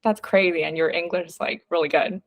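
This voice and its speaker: A woman's voice: fairly low pitch, steady tone, brisk, no echo. A woman hosting a podcast, asking questions in a casual, chatty way with lots of fillers. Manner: chatty, eager, many fillers like "like" and "um". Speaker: podcast host. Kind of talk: casual interview chat.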